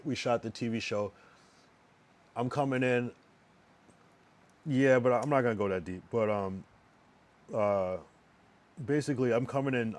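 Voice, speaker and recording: A man's voice: mid-range voice, darkish tone. A young man with a strained, worn voice, chatting casually on a live stream and rambling with lots of restarts. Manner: rambling, halting, many restarts. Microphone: streaming microphone.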